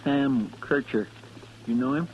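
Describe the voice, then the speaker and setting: An actor playing a folksy, naive sidekick in a vintage radio Western, plaintive and deferential, with a rural American accent. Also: male voice, medium pitch, a little slow, close to an everyday voice. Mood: curious, indignant. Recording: dull, hissy old radio recording.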